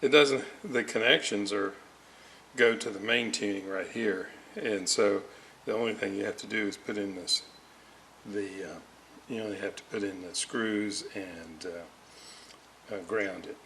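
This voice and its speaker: Slow-talking man thinking aloud while working. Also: fairly low pitch, steady tone, gravelly, fairly bright tone, a little hiss or hum, rough recording. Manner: halting, muttering to himself while working. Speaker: hobbyist radio restorer, presenter. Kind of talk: casual hands-on repair walkthrough, thinking aloud.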